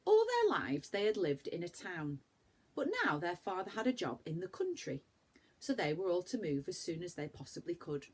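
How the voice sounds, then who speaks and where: A woman reading a children's story aloud like a primary school teacher, with animated, dramatic emphasis for young listeners. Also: fairly low pitch, moderate pace, slightly rough.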